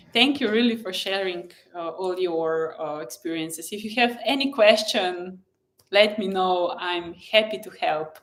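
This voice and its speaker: A woman who is a native Slovenian speaker, hosting a casual live chat in a gentle, encouraging and effusive way, often pausing mid-thought. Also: fairly low pitch. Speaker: live stream host and blogger.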